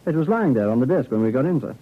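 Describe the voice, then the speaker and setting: Male actor as junior policeman, rough-edged voice, matter-of-fact, terse, deferential, tinny vintage radio. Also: mid-range voice, expressive, rapid-fire, close to an everyday voice. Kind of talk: 1950s radio drama acting. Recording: thin, narrow old radio recording.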